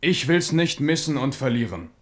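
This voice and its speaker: An actor in a German radio play playing a genial, earthy king who talks in a familiar, theatrically expressive way. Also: medium pitch, moderate pace, close to an everyday voice. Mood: wistful, subdued. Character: genial, earthy king, warm with commoners and old comrades.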